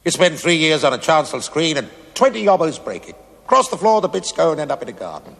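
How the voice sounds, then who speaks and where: An actor playing a wry, fatalistic stonemason in a television play, philosophising in a declamatory way. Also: male voice, fairly high pitch, very animated, a little fast, vocal fry, fairly bright tone, exaggerated voice. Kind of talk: television stage-play acting. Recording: echoey hall with long reverb.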